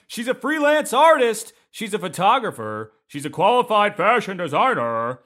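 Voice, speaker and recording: A man's voice: high voice, big pitch swings, normal speed, fairly bright tone. A man hosting a podcast in an exuberant, playful, informal way with plenty of slang. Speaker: podcast host. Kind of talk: upbeat podcast hosting and interview.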